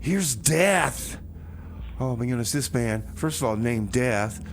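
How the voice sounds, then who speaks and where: A man's voice: mid-range voice, expressive, slow pace, slight background noise. A man hosting a radio music show, easygoing and chatty as he back-announces songs. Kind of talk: casual radio banter.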